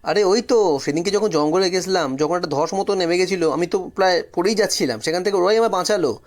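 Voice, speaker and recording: Higher-voiced man telling a dramatic story. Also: fast talker. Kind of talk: dramatic first-person story narration.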